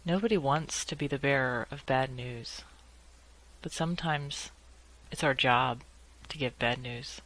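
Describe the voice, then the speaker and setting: Very slow-paced woman guiding meditation. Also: a bass voice, poor audio. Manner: calm, measured delivery with long pauses. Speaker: meditation guide. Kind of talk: guided meditation.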